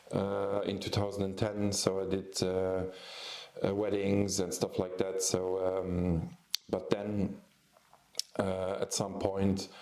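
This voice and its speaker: Man in his 40s, non-native English speaker from Luxembourg; low, unhurried, halting delivery; echoey room. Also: a little mellow. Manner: hesitant, many ums and restarts. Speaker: photographer and YouTube host. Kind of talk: casual vlog talk.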